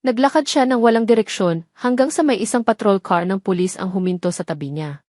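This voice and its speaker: A woman's voice: mid-range voice, brisk, darkish tone, no echo. Woman narrating a dramatic story, dry sound.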